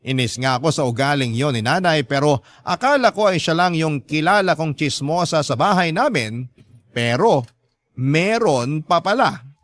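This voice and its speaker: An earnest young man's narration voiced by a male actor for a radio drama. The voice is fairly high and very dry, confiding a personal story in scripted first person. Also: lively intonation, normal speed, a bit bright, dry recording. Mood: reflective. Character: earnest young man confiding his own sad life story.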